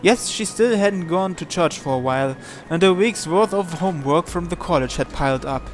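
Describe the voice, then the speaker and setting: A man's voice: on the high side, lively intonation, moderate pace, a little hiss or hum. A man reading visual novel narration aloud, sometimes stumbling and repeating words, with music behind. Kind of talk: live read-aloud narration in English.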